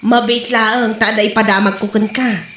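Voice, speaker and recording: Casting: actress as nosy Ilocano village wife, high-pitched, mocking and gossipy, comedy drama, echoing room. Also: female voice, steady tone, unhurried, fairly bright tone, slight background noise. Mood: eager gossiping. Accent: Ilocano Filipino. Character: nosy, gleeful village gossip, a married woman. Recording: roomy, echoing audio.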